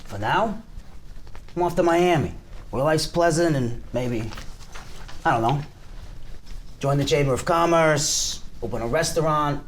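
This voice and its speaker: A man's voice: fairly high pitch, measured pace, pauses often, lightly acted. A man playing a blunt, aggrieved old-time gangster boss at a table read of a crime drama. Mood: fed up, breezy. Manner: blunt, fed-up, offhand. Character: weary, aggrieved 1920s gangster boss announcing retirement. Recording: faint background noise.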